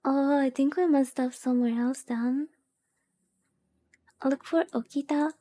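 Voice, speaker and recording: High-voiced, mellow woman streamer. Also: steady tone, unhurried. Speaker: VTuber streamer. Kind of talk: casual chat while playing a visual novel. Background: game music.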